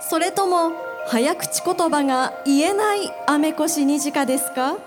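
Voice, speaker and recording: A woman's voice: sits high, normal speed, lightly acted. A woman playing a polite, playful goddess of Japanese myth in a live radio drama, over music. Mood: grand, playful. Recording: live event mic with music underneath.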